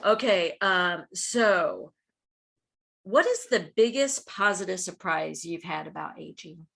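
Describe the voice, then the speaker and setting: A woman's voice: fairly low pitch, slow, vocal fry, a bit bright, slightly rough. A woman in her fifties speaking as a podcast host, conducting an interview. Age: 50s.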